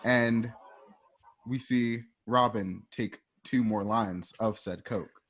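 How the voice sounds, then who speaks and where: A man's voice: lowish voice, slow pace, fairly bright tone. A man narrating a radio drama, reading out scene directions step by step in the present tense.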